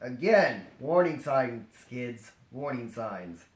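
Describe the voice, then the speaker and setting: A man reads a story aloud with very lively, swooping intonation, wandering off into joking asides and acting out bits as he goes. The room is echoey, with faint noise behind him. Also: medium pitch, takes it slowly. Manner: jokey, digressive, dramatizing asides. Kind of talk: comedic read-aloud with ad-libbed asides. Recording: roomy echo, faint noise and clicks.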